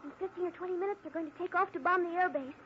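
A woman's voice: very high-pitched, racing. An earnest, eager young woman. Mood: urgent. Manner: breathless, earnest, exclamatory. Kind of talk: 1940s radio acting. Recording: thin, tinny old radio broadcast with faint hiss.

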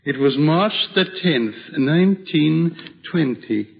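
An actor playing a kindly, serene old widowed hermit in a slow, solemn radio drama style. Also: male voice, a little high, expressive, creaky, exaggerated voice. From Switzerland (the figure). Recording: dull vintage radio recording.

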